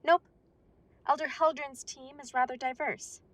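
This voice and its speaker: A polite, businesslike werewolf receptionist, voiced by a woman in an audio drama, with a bright, high voice. She sounds close and dry, with no room echo. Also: steady tone, unhurried. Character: polite female werewolf council receptionist, brisk.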